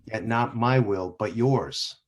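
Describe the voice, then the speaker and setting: A man reading a solemn, grave Jesus. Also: fairly low pitch. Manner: solemn, measured. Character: Jesus, grave calm male teacher. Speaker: reader in church passion play. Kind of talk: dramatic scripture reading. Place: church sanctuary.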